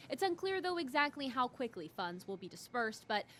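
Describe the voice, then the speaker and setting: A woman's voice: fairly high pitch, very animated, a little fast, a bit bright. An American woman narrating a scripted television news report as a national correspondent.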